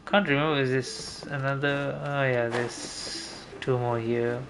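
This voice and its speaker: A man's voice: medium pitch, level tone, a little slow, background noise. A man giving casual gameplay commentary as a streamer, thinking aloud in a rambling, mildly sweary way. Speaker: game streamer.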